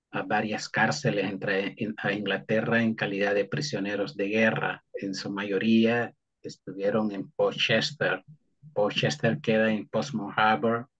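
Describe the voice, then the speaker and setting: Un hombre hondureño cuya lengua materna es el garífuna cuenta en una entrevista una historia, con pausas, muletillas y frases que vuelve a empezar. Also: male voice, on the low side, lo-fi sound. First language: Garifuna. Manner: halting, many fillers and restarts. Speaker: guest historian. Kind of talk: interview answer, historical account.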